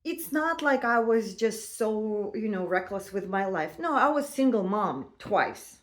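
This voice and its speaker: Woman in her fifties, personal vlog, mid-pitched, halting and chatty, non-native phrasing. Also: animated. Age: 50s. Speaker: vlogger. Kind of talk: casual personal storytelling.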